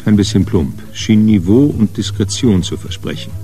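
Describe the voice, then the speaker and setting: Male narrator for radio crime drama: very low, dark, relaxed delivery of literary prose, faint music underneath. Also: normal speed.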